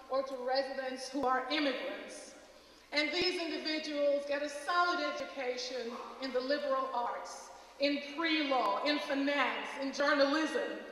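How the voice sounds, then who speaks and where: A middle-aged woman from Jamaica delivers a measured, stately welcome as a college president, her voice high and bright. She is picked up from a distance in a large, echoing auditorium with a long reverb tail. A faint murmur of the audience sits underneath. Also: a little hiss or hum. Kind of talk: formal welcome speech. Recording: echoey hall recording from far off.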